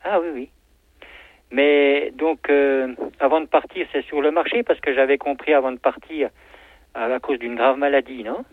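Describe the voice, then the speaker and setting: A man's voice: mid-range voice, fairly even intonation, moderate pace, no room sound. A man answering a phone call, modest and hesitant as he responds to praise. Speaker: accordionist taking a call. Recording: tinny phone line.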